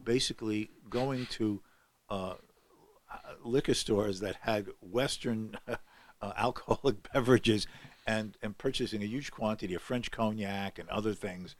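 A man's voice: fairly low pitch, a little slow. An older man telling a long story on a podcast, with many fillers and restarts. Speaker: guest scholar. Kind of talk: podcast conversation.

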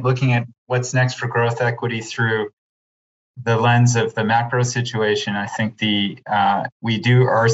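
A man answering as an investor guest in an unscripted panel interview, on slightly muffled audio. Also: medium pitch.

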